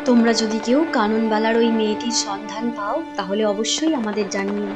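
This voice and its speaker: Female cartoon narrator, fairly high voice, scripted storybook read with music behind. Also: steady tone, normal speed.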